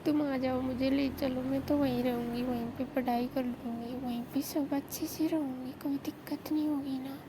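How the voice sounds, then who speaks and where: A woman playing a lovesick young sister-in-law, speaking softly and plaintively in an intimate late-night phone call. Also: high voice, fairly even intonation, normal speed, few pauses, close to an everyday voice. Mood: pleading. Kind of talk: intimate staged phone-call acting in casual Hindi. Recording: noisy phone-call recording.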